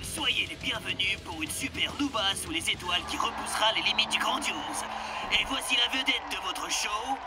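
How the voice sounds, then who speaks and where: A man's voice: sits high, animated, normal speed. A man playing a bombastic show announcer in a cartoon dub, grandiose and hyped. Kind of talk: animated cartoon dubbing. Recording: loud music behind the voice.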